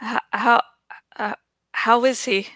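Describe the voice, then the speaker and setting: Woman acting an anxious, halting young man, very slow. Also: fairly high pitch, steady tone, sharp tone, dry recording, studio quality. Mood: hesitant, anxious. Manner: hesitant, stammering. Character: anxious, weakened young man waking from injury. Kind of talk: audiobook storybook reading.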